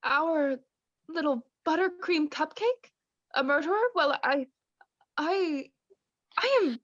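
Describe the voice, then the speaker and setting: Casting: young woman playing naive doting mom, high cooing voice, radio play, slightly dull recording. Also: animated, slow pace, no echo. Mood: stunned. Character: sugary, doting, naive mother. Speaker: student actor in radio play.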